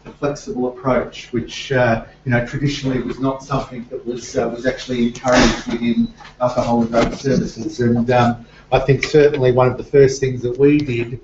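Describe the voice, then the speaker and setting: A middle-aged man speaks haltingly as he presents at a seminar in a small room. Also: mid-range voice, flat delivery, background noise. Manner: hesitant, halting delivery. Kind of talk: semi-formal live presentation. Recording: dull lo-fi seminar webcast sound.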